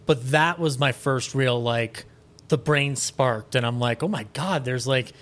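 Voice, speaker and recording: A man speaking as a podcast guest in a casual film discussion, animated and opinionated, swearing freely. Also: medium pitch, a little slow.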